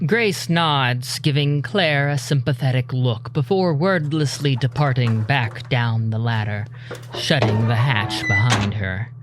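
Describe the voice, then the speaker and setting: A man narrating a scripted audio drama in a measured, dramatic storytelling manner. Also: mid-range voice, soft background music.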